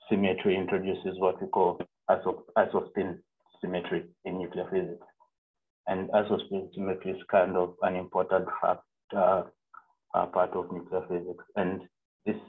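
Young man from Zambia, low husky voice, halting online lecture, tinny call audio. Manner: halting, many fillers and self-corrections. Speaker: physics PhD student presenting a seminar. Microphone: video call. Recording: narrow phone-like call audio.

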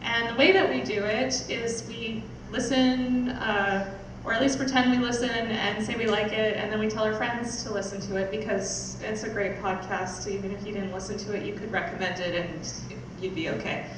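A woman's voice: medium pitch, steady tone, normal speed, hardly any pauses. Young woman chatting to a crowd from stage, hesitant n casual, really echoey, noisy, far from mic. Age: young adult. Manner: casual, self-deprecating, hesitant. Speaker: podcast producer hosting launch event. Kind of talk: informal speech to an audience. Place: small theatre stage, indoors. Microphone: stand mic, picked up by distant camera.

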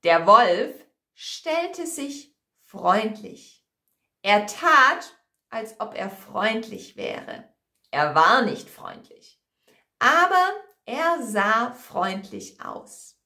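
A young woman teacher telling a story for language learners, deliberate and expressive, in a small room at home. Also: fairly high pitch. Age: young adult. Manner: deliberate, clearly expressive, repeats simply. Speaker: German teacher. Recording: roomy indoor echo.

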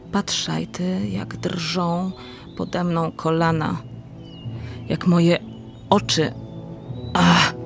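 An actress playing an agitated, lovesick countess in a radio drama, with a melodramatic manner. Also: female voice, on the low side, vocal fry, exaggerated voice. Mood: vengeful, brooding.

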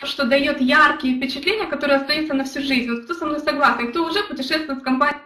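A woman's voice: high voice, fairly even intonation, fast talker, sharp tone, reverberant, far from the mic. A young woman from Ukraine giving an earnest motivational talk on a live stream, telling her own story and speaking to viewers in the chat. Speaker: network marketing leader.

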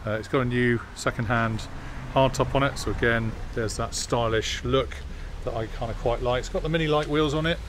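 An Englishman ambles through an outdoor car park, chatting about the vehicles in an easygoing, halting way full of ums and uhs. His voice sits at an ordinary middle pitch. A jet washer and outdoor noise come through clearly behind him on the phone recording. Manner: relaxed, hesitant with many fillers. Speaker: classic car vlogger. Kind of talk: casual walk-around commentary.

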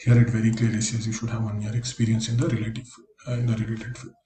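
Man with a flat, mellow voice. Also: lowish voice, quick pace. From India. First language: Konkani. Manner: explains step by step, plain and practical. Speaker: career coach. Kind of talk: screen-recorded tutorial walkthrough. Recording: echoey room with faint hiss.

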